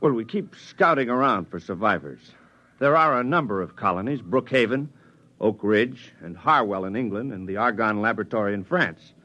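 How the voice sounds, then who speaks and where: An actor playing a brisk, matter-of-fact male rescuer from a distant colony in a 1950s radio drama. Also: on the low side, lively intonation, sharp tone. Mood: earnest. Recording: dull old radio broadcast recording.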